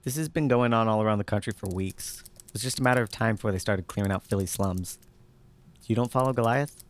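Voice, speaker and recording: A man's voice: fairly low pitch, quick pace, natural voice. A man playing a jaded, know-it-all underground hacker in an audio drama, dry and matter-of-fact as he explains. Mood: curt, businesslike.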